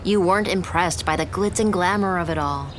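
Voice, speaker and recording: A woman's voice: lowish voice, a little fast, close to an everyday voice. An actress playing a blunt, sarcastic, cool young rich woman in a romcom audio drama, with slangy delivery. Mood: cool, proud. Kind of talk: romcom podcast drama acting. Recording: clear ambient background noise.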